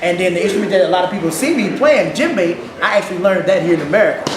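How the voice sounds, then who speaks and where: Ghana-born man with a St. Louis accent, lively interview guest, high-ish voice, echoey noisy room. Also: quick pace. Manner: animated, laughing, repeats words. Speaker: percussionist guest. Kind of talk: casual interview. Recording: echoey room with loud background noise.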